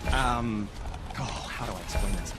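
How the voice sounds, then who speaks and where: An actor playing a fussy, squeamish, easily flustered weaponsmith in a video game, with a prissy, theatrical, stammering delivery. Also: male voice, lowish voice, a little slow, over loud music, lightly acted. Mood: miffed, sarcastic. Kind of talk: video game cutscene acting.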